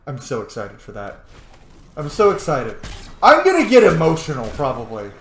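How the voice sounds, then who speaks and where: A man giving casual, informal gameplay commentary to his viewers, excitable and prone to swearing. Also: on the high side, moderate pace. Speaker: let's play host. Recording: roomy echo, faint background noise.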